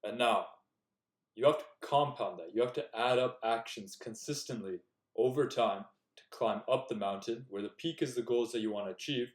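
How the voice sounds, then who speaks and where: A man's voice: medium pitch, normal speed. A young man giving earnest, direct self-improvement advice in a casual talk to the camera. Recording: echoey room.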